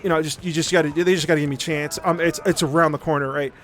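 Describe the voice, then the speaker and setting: Animated, higher-voiced male streamer. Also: racing. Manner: animated, sarcastic, sweary storytelling; acts out mocking quotes. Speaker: livestream host. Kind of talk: casual rambling livestream chat.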